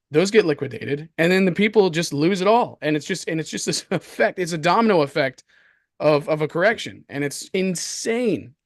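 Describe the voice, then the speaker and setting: A man with a hoarse voice co-hosts a casual market commentary show, talking in an excitable, slangy, repetitive way. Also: fairly high pitch, no room sound, studio quality. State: hoarse from coughing. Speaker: crypto show co-host.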